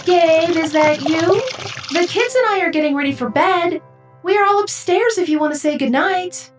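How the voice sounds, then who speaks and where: A woman acting a high-voiced wife and mother. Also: moderate pace, over music. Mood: gentle. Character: scornful, unimpressed wife and mother. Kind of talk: horror audio drama acting.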